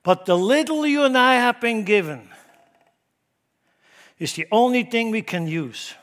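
A middle-aged man with a Swiss German accent, preaching a sermon in church, emphatic and shifting from loud to hushed. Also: high voice, lively intonation, a little slow. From Switzerland. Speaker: pastor.

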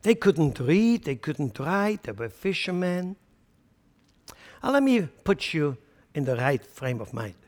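A man's voice: a little high, big pitch swings, slow, in a large hall. A man from central Switzerland with a Swiss German accent, preaching a sermon with emphatic rhetorical questions. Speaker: pastor.

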